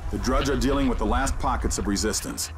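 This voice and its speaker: An actor playing a grave, urgent mission guide in a video game, giving deliberate, commanding instructions. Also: male voice, medium pitch, lightly acted, poor audio. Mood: focused, instructive. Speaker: mission guide over radio. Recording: loud game music behind the voice.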